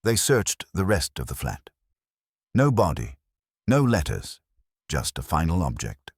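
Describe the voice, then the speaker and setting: A man reads scripted mystery narration in a measured, deliberate way, with dramatic pauses and a husky voice. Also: huge pitch swings, darkish tone, no echo, clean studio sound. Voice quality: very deep, husky. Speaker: story narrator.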